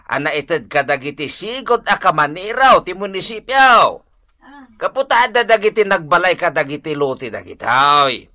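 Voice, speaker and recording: A man's voice: sits high, pushed and bright, heavily acted. A man acting a bossy, exasperated village captain with an Ilocano accent in a comedy radio drama, scolding and authoritative. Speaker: barangay captain.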